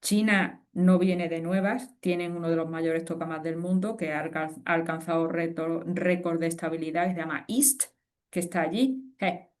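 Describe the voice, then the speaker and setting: A woman, a guest expert speaking in a conversational webinar interview, animated and explanatory with frequent fillers and restarts. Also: lowish voice, moderate pace. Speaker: guest expert on fusion energy.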